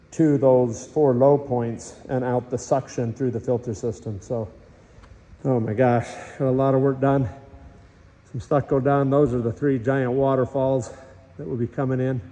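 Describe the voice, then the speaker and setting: A man's voice: medium pitch, steady tone, normal speed, dark tone, distant mic. A middle-aged man explaining a pool build to the camera in a casual vlog, recorded in a large empty concrete pool shell indoors. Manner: explanatory, technical, talks straight to the camera. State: tired late at night. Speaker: builder and channel host. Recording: echoey concrete space with long reverb.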